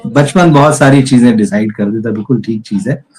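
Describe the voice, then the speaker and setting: A man's voice: medium pitch, brisk, darkish tone, husky, poor audio. A man hosting an interview, asking long rambling questions and nodding along with short acknowledgments. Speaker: interviewer, host.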